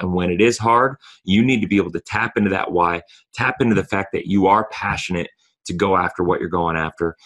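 Man, deep voice, hoarse with a cold, podcast host, earnest coaching talk. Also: a bit bright. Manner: earnest coaching tone, frequent restarts.

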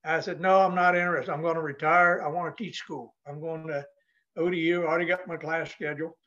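A man's voice: fairly high pitch, fairly even intonation, fast talker, fairly bright tone, dull audio. An older man from New Hampshire, a retired Navy leader, telling stories casually as an interview guest in a folksy, plain-spoken way. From New Hampshire, United States. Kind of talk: casual storytelling.

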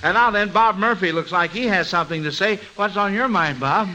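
A man's voice: high-pitched, fairly quick, sharp tone. A man hosting an old-time radio quiz show, encouraging and avuncular with children. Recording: noisy old radio broadcast recording.

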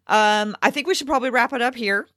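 A woman's voice: a little high, quick pace, a bit bright, dry recording, studio quality. A woman co-hosting a sports podcast in casual chat, thinking aloud a bit tentatively.

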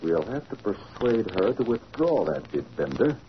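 A man's voice: on the low side, very animated, normal speed. A man playing a domineering crooked businessman in a 1940s radio drama, commanding and contemptuous. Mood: commanding, menacing. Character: domineering crooked businessman, a cold scheming boss. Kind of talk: 1940s radio villain acting. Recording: muffled, noisy old radio recording.

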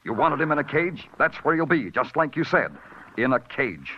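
A man's voice: a little high, very animated, normal speed, background noise. An actor playing a tough male police lieutenant in a 1940s radio drama, blunt and clipped, also narrating. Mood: firm. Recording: dull lo-fi old radio recording with music.

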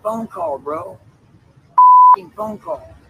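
A man's voice: extremely high pitch, huge pitch swings, very slow, gravelly. A man answering a street interview in a blunt, slangy, casual way, recorded outdoors. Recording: noisy outdoor street clip with traffic.

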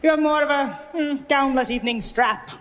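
A woman performing a comic theatrical stage monologue as a mock lecture, with emphatic pauses. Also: high-pitched. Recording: reverberant concert hall, clear noise.

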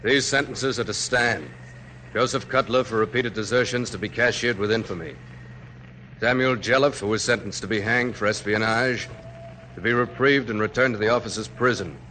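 Brusque army colonel, sharp, old radio. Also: male voice, on the low side, brisk. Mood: official. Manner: clipped, gruffly dismissive, official. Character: brusque, impatient colonel serving as the general's aide. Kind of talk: 1940s American radio drama acting. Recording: noisy vintage 1940s radio recording.

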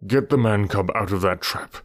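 A man's voice: on the low side, normal speed, no room sound, close to an everyday voice. A man voicing a proud, weary male panther in a storybook, speaking in a grave, dry manner. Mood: weary, urgent.

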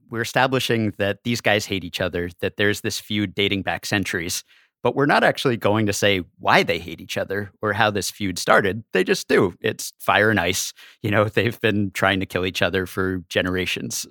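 A man talks as a podcast guest, giving casual commentary. His voice is on the low side, and the sound is dry and close. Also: fairly bright tone, dry recording.

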